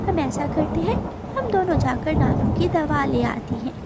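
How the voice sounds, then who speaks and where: A woman's voice: sits high, animated, measured pace, very noisy. A woman voicing a young girl bird, a loyal and watchful friend in a children's cartoon, polite and concerned. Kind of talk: children's cartoon story acting.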